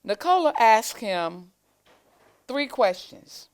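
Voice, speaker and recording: A woman reading a children's storybook aloud in a deliberate, expressive storytelling manner. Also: a little high, rough recording. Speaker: reader, school staff member.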